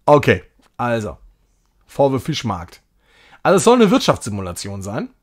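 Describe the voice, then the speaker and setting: A man comments casually on his gameplay for a live stream. His pitch moves around in a very lively way, sitting in a medium register. He is chatty and jokey, with a self-ironic, mock-grand streak. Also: moderate pace. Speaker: game streamer.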